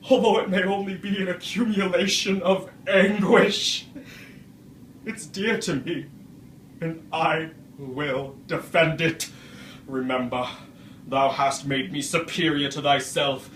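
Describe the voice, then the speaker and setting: A man playing Frankenstein's creature, wretched, anguished and vengeful, in a theatrical, archaic stage monologue with deliberate oratory. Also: on the high side, fairly bright tone. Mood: anguished, defiant. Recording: roomy, distant microphone, faint noise.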